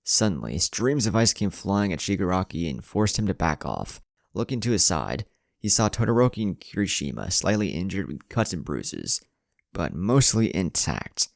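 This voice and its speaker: A man's voice: sits low, sing-song, moderate pace, vocal fry, no echo, studio quality. A man reading dramatic scripted narration of an action story.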